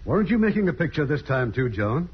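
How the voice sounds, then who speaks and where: A man's voice: on the high side, very animated, fast, breathy edge. A man hosting a 1950s radio show with courteous, scripted showman's banter and introductions. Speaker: host. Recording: muffled vintage radio broadcast recording.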